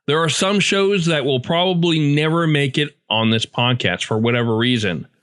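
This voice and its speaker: A man's voice: medium pitch, fairly bright tone, dry recording, studio quality. A man hosting a podcast, reading a scripted introduction to the show. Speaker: podcast host.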